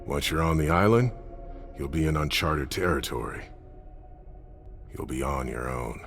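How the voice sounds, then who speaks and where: An actor playing a stern, cautious vampire adviser in a game cutscene, blunt and serious, with a gravelly voice. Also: male voice, a bass voice, expressive, measured pace, fairly bright tone, soft background music, heavily acted. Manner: blunt, serious, matter-of-fact. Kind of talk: dramatic video game cutscene acting.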